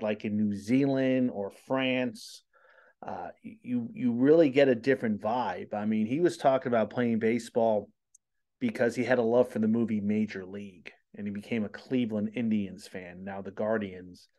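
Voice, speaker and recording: A man's voice: mid-range voice, fairly even intonation, moderate pace, dark tone. A man, hosting a casual interview over video call from a small home studio, chatty and rambling. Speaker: host. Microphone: studio mic on boom arm.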